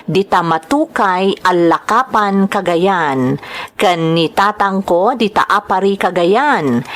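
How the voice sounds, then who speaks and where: A Filipino woman host reads aloud for her listeners in a lively, varied voice. She takes her time with a slow, measured pace, at an average pitch for a woman. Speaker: host and storyteller of a drama channel. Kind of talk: reading greetings and a listener's letter.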